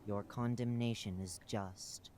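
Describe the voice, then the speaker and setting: A man's voice: lowish voice, fairly even intonation, slow, a little mellow. A man voicing a solemn, unseen divine master who pronounces judgment in grave scriptural words. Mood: stern judgment.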